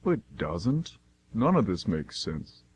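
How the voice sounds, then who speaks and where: A man's voice: on the low side, sing-song, slow, breathy edge, heavily acted. A man playing a sarcastic, skeptical and somewhat nervous friend who wisecracks, in an amateur radio drama. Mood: skeptical, uneasy.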